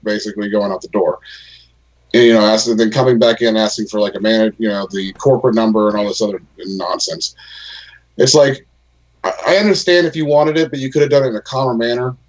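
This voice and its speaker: Male host, mid pitch, earnest, talky; dull lo-fi recording. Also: darkish tone. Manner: earnest, explaining at length with restarts. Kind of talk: casual chat. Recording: lo-fi dull sound.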